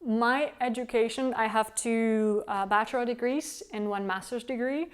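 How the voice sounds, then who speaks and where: A woman's voice: fairly high pitch, steady tone, moderate pace, clean tone. A woman from the Czech Republic, a native Czech speaker with a Czech accent, earnestly answering interview questions as a tour guide. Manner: earnest, explains at length. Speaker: tour guide and company founder.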